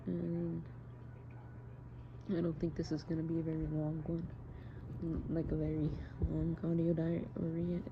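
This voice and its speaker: Low, flat-toned young woman, muffled noisy recording. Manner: hesitant, halting, informal with swearing. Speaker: audio diarist. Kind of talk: casual personal audio diary, confessional. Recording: muffled home recording, clear background noise. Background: background noise, friends' voices.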